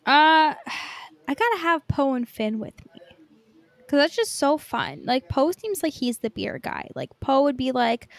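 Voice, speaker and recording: A woman's voice: high voice, no room sound. A woman chatting casually as a podcast co-host, in informal speech peppered with "like". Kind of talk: casual fan podcast chat.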